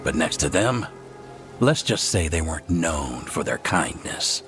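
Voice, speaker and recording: A man's voice: a bass voice, sing-song, measured pace, over music, heavily acted. An actor playing a weary, blunt male elven scout with a wry manner in a fantasy video game. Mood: blunt, grim. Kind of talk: cinematic video game dialogue.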